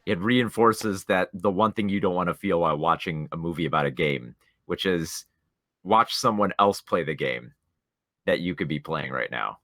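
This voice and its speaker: A man with a low, bright voice talks through films as a podcast co-host. He is opinionated and likes to explain his point, and the recording is close and dry. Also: moderate pace, no room sound. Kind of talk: casual film discussion.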